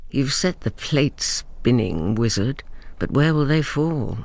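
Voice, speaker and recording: An actor playing a wry, jaded storyteller, narrating a radio drama in a deliberate, rhetorical manner. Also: male voice, medium pitch, animated, a little mellow. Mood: wry, ominous. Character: wry, jaded storyteller addressing his listeners. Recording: clear noise and crowd behind.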